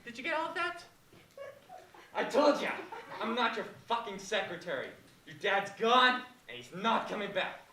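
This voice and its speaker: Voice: mid-range voice, sing-song, moderate pace, bright, forceful tone, slight background noise. A performer voicing a crude, snappy, foul-mouthed puppet. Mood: rude. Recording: roomy echo, distant mic.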